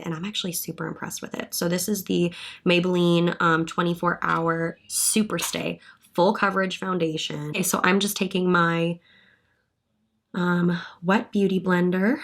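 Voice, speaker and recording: A woman's voice: lowish voice, level tone, moderate pace, creaky. A young woman vlogger chatting casually through a hair and makeup tutorial, a little congested from a cold. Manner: chatty, upbeat, thinking aloud while working. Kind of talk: casual get-ready-with-me tutorial.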